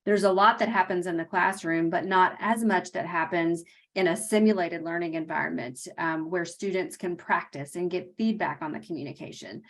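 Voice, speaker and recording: A woman's voice: fairly low pitch, moderate pace. A woman on a webinar panel, explaining health literacy work in a practical, explanatory way over a video call. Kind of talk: panel discussion.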